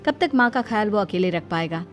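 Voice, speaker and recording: A woman giving scripted narration for an animated moral bedtime story. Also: medium pitch, fairly quick. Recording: music behind the voice. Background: background music.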